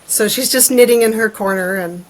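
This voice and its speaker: A woman's voice: fairly high pitch, fairly quick, a loud crowd, low-quality recording. A woman chatting casually as a guest author on a podcast. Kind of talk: casual chat.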